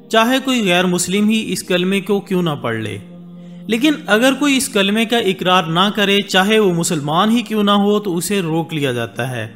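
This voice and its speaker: High-voiced man narrating a documentary. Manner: measured, formal Urdu delivery with Arabic religious phrases. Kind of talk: scripted voice-over narration. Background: soft background music.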